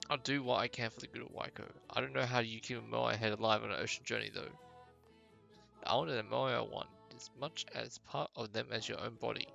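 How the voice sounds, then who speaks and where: A man's voice: medium pitch, fairly even intonation, fairly quick, creaky, pushed and bright, lo-fi sound, faint music behind. A man voicing a protective, superstitious islander in a fantasy game, speaking with solemn emphasis. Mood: pragmatic. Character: protective, superstitious islander guarding ancestral statues. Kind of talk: amateur game dialogue voicing.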